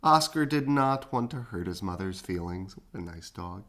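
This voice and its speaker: A woman reading a children's storybook aloud expressively, with dramatic pauses and casual asides. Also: rumbling low, lively intonation, a bit bright. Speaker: storybook reader. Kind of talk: animated children's read-aloud with casual chat.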